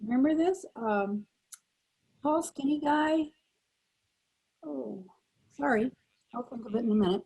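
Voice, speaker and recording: A woman's voice: high-pitched, lively intonation, unhurried, vocal fry, long pauses, mellow, slightly rough. An older woman speaking casually as a panel guest, reminiscing on a video call. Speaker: panel guest, former radio actress.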